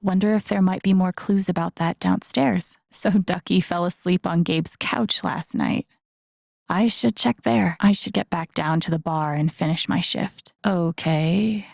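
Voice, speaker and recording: A woman's voice: on the low side, a little slow, gravelly, darkish tone, dry recording. An actress with an American accent playing an introspective, wry young woman in a video game, murmuring dry asides to herself. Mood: musing. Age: young adult. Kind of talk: naturalistic game inner-monologue acting.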